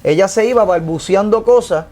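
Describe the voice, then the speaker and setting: High-pitched man in animated chat. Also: moderate pace. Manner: animated, many fillers and restarts. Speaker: podcast co-host. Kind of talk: casual panel chat.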